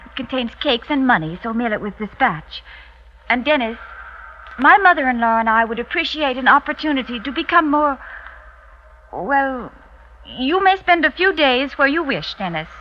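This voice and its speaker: An actress playing a composed, resolute young French wife with measured, formal delivery in a 1950s radio drama. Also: female voice, high voice, sing-song. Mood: commanding. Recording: muffled vintage radio recording, faint hiss.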